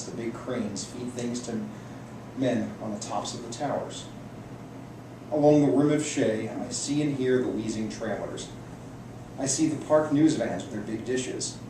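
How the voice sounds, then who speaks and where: A man reading a personal essay aloud to an audience. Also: mid-range voice. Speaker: reader at a library book reading. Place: indoor room at a public library event. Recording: echoey room, distant mic, clear noise.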